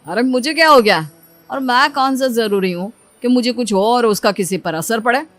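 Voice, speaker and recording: A woman's voice: a little high, lively intonation, normal speed, a bit bright, soft background music. A woman voicing a moody, insecure young husband in a cartoon moral story, curt and grumbling. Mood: irritated, curt.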